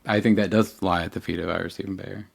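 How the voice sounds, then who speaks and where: A man co-hosting a podcast in casual discussion, haltingly restarting and repeating himself as he makes his points. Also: low voice, fairly even intonation, gravelly. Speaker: podcast co-host.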